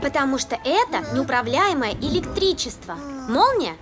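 Very high-pitched, sensible child. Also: female voice, big pitch swings, moderate pace. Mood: earnest, concerned. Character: earnest, knowledgeable young schoolchild, cautious and sensible. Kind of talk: children's cartoon dubbing. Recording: background music under the voice.

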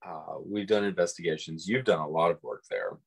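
A middle-aged man with a husky voice, chatting casually as co-host on a video call. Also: low-pitched, fairly quick, slight background noise. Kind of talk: casual conversation. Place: room at home, on a video call. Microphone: device mic on a video call.

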